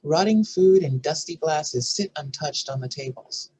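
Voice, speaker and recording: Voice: mid-range voice, measured pace, mellow, husky, rough recording. A narrator reading scene headings and stage directions from a screenplay in a scripted style. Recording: faint background noise and room ambience.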